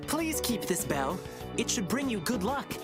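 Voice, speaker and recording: A performer voicing a gentle, earnest young lion-dancer beast boy in a video game. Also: medium pitch, very animated, measured pace, over loud music. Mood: sincere, kindly. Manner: earnest, kindly.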